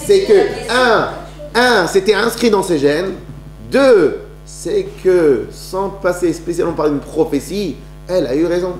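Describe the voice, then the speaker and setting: A man's voice: high-pitched, animated, measured pace, faint music behind. A man giving a religious talk to an audience, telling stories with many restarts and rhetorical questions. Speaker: lecturer. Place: lecture hall. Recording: echoey room with faint hum.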